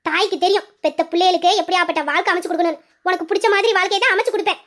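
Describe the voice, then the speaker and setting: A woman's voice: very high-pitched, racing, fairly bright tone, pitched below the performer's own voice. A woman voicing a stern mother who threatens her grown daughters in a dramatized story. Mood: stern, threatening. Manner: harsh, commanding.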